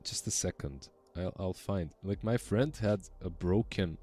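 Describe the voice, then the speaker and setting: Low-voiced young male esports caster. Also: a little slow, faint music behind. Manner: relaxed, chatty, trails off often. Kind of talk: casual esports commentary.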